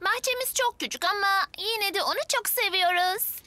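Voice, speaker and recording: Cheerful little girl twin, extremely high and childlike, excitable, dubbed kids' drama with music underneath. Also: moderate pace. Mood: cheerful presenting. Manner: bright-eyed, excitable, childlike.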